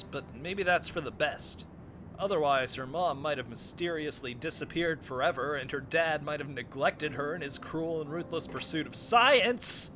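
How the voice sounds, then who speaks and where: A man's voice: fairly high pitch, pushed and bright. A man playing a neurotic, bitter, geeky scientist in a comedic game parody, whiny and snide. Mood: wry.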